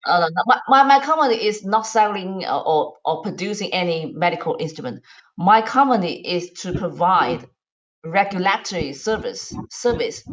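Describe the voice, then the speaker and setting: Chinese woman, halting speech, dull audio. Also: lowish voice, animated. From China. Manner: halting, repeats words and phrases. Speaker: medical device regulatory consultant. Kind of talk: casual language-practice chat. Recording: dull lo-fi online call audio.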